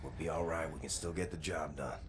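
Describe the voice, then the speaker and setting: A man's voice: very deep voice, steady tone, fairly quick, slight background noise. An actor playing a blunt, tired male soldier in a video game cutscene, speaking in short, clipped lines. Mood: terse.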